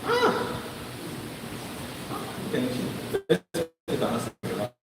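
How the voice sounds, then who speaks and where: A man addresses a live audience, drawing them in with questions and frequent restarts. His voice comes from a distance in a reverberant hall. The recording carries a clear layer of noise. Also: medium pitch, huge pitch swings, slow pace. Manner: interactive, quizzes the crowd, many restarts. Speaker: speaker presenting to an audience. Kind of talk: live presentation with audience interaction. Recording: echoey hall, voice from afar, noisy.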